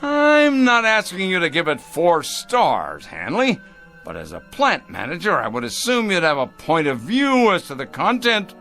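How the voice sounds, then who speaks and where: An actor playing a smug company boss obsessed with progress, with a pompous, condescending, theatrical delivery in a drama. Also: male voice, high-pitched, big pitch swings, normal speed, pushed and bright, soft background music, exaggerated voice. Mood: irritated. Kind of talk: dramatic radio-play style acting.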